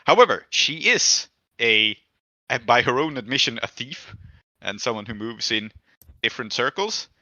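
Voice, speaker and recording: A man's voice: mid-range voice, expressive, normal speed, sharp tone, no room sound, dull audio. A man improvising narration as game master in a tabletop roleplaying game, thinking aloud as he goes.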